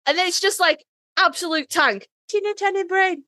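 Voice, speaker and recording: A woman's voice: very high voice, normal speed, bright, forceful tone, no echo, studio quality. A woman bantering casually as a podcast co-host, emphatic, geeky and enthusiastic.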